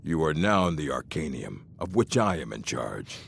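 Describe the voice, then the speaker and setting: An actor voicing a stern, grumpy old orc librarian in a fantasy game, gruff and contemptuous, with a gravelly voice. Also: male voice, a bass voice, big pitch swings, moderate pace, fairly bright tone, slight background noise, exaggerated voice. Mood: stern, threatening. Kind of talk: fantasy video game voice acting.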